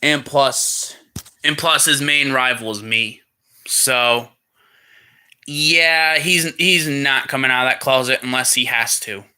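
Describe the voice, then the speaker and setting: A man's voice: medium pitch, takes it slowly, bright, forceful tone, clean tone. A man speaking as a plush toy character on a livestream, rambling through crude banter with frequent restarts. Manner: rambling, stumbles and restarts mid-sentence.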